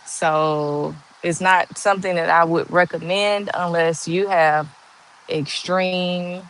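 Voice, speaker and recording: A woman in her forties with a monotone voice, chatting slowly and candidly on a livestream and answering viewers' comments. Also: on the low side, slight background noise, poor audio. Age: 40s. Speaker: livestream host. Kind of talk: casual live chat answering viewer comments.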